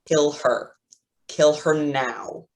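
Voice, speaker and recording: A man's voice: on the high side, fairly even intonation, very slow. A man reading the part of an arrogant, cruel tech villain in a staged play reading, with a sneering, mocking delivery. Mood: commanding.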